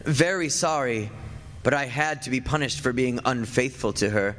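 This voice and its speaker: A man's voice: mid-range voice, normal speed, a bit bright, a little hiss or hum, lightly acted. An actor playing a very old, henpecked husband of a witch, narrating a radio play in a wry, grumbling, confiding way. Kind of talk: stage radio play, 1940s-style narration. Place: theatre stage before an audience. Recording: echoey stage hall, music behind.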